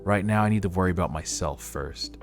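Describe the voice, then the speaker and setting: A man voicing a reincarnated young male sorcerer, determined and calculating, in a measured, earnest webtoon monologue. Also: sits low. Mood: calm, resolved. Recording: music under the voice.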